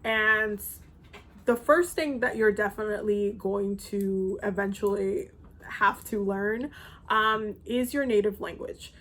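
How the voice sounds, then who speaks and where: A woman's voice: medium pitch, takes it slowly, a bit bright. A young woman from the Dominican Republic, talking casually to her viewers in a loose, rambling way with many fillers. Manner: loose and chatty, many ums and restarts. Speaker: spiritual content creator. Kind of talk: casual vlog talk to viewers.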